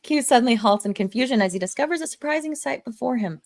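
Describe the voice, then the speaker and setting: A woman's voice: a little high, expressive, fast, darkish tone. A woman reading stage directions as narrator in a live amateur table read, with a dramatic storytelling manner.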